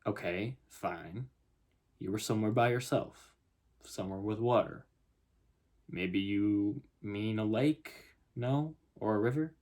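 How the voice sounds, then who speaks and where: Man reading as a measured, sceptical policeman. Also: fairly low pitch, a little mellow. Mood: patient, probing. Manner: measured, probing, polite. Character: patient but sceptical Texan policeman questioning a family.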